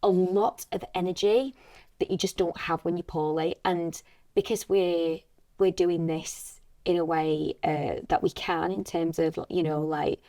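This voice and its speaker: A woman with a slightly husky voice, talking casually and reflectively as a voice actress on a podcast. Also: on the low side, steady tone, measured pace, mellow.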